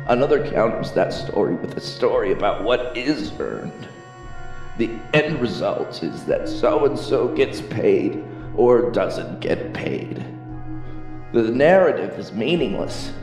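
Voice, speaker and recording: Man acting a detached lecturer, slow, measured, echoey hall, music behind, audio drama. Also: medium pitch. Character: detached male professor giving a philosophical lecture. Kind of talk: scripted monologue.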